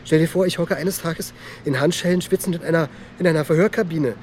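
A man's voice: a little high, fast talker, a little mellow, slight background noise, natural voice. An actor playing a twitchy, wheedling young small-time crook in a crime radio play, rambling in slang and repeating himself. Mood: menacing, wheedling. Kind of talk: naturalistic radio crime drama acting.

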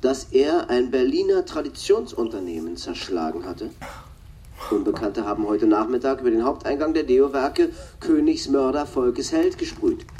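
An actor playing a slimy tabloid TV reporter, delivering a florid, pompous news report in a radio drama. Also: male voice, mid-range voice, lively intonation, normal speed, warm tone, a little hiss or hum, close to an everyday voice. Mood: sensational.

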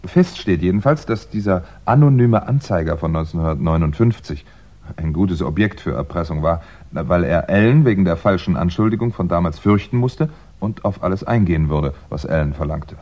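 An actor playing a calm crime writer turned amateur sleuth, reasoning deliberately in a 1960s German radio crime drama. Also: male voice, deep voice. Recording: dull, muffled 1960s radio recording.

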